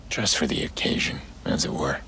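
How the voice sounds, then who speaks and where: A male actor playing a weary, guilt-ridden middle-aged vigilante in a game, speaking tersely in a low, hushed, gravelly voice. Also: normal speed, a little hiss or hum, close to an everyday voice. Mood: resigned, remorseful. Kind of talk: cinematic game drama acting. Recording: rain and ambience behind the voice.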